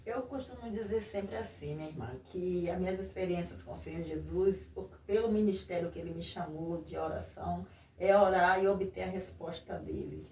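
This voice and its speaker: A woman from Brazil giving a faith testimony as a guest, halting and repeating her phrases. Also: fairly low pitch, animated, warm tone. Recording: echoey room, voice a bit far from mic, faint noise.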